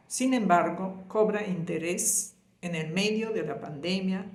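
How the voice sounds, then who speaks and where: A woman geographer giving a formal, courteous scripted introduction to a lecture. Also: lowish voice. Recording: echoey room sound.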